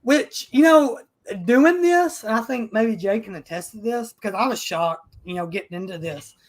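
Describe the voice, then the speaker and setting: A man's voice: sits high, moderate pace. A man hosting a casual podcast and reading out live chat, emphatic and profane, with frequent restarts.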